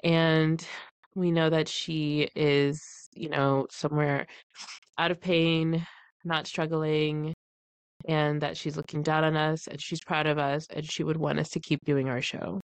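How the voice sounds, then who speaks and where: A woman's voice: low-pitched, little pitch movement, unhurried, clean tone, dry recording. A young woman with a stuffy, congested voice from the flu, co-hosting a casual podcast, laughing often and talking loosely. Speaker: podcast co-host.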